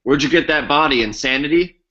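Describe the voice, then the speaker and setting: A man's voice: on the high side, brisk, crowd noise. A man wisecracking dryly in a group video chat. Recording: dull lo-fi call audio.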